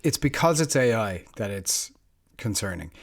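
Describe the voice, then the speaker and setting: A man's voice: lowish voice, sing-song, normal speed, gravelly. A man co-hosting a podcast, talking casually and thinking aloud with hedges and fillers like "you know" and "I suppose". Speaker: podcast co-host.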